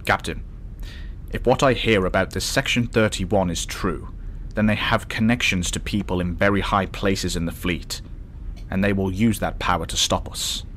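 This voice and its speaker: A thoughtful starship doctor, acted by a man with a deep voice and a calm, measured delivery. The lines are reflective sci-fi drama dialogue over a faint rumble of noise. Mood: cautious. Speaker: actor in a science-fiction fan drama.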